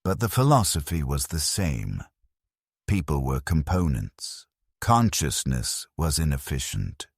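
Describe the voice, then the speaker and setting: A man with an extremely low, husky voice narrates dark science fiction in the first person. His delivery is measured and grim, yet the pitch moves in a lively way. The sound is dry and close. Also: dry recording.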